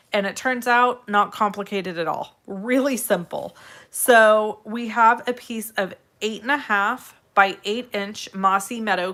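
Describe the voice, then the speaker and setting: A woman with a slightly raspy, congested voice hosts a casual step-by-step craft tutorial, addressing viewers in a friendly, direct way. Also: medium pitch, bright, forceful tone. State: congested, irritated throat from allergies.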